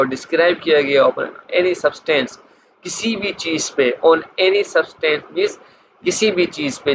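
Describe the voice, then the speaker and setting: A man with a Pakistani accent giving an informal law lecture, reading out clauses from slides and explaining them with frequent repetition. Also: on the high side. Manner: explanatory, slows markedly when reading English clauses, repeats phrases. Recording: muffled lo-fi audio, clear background noise.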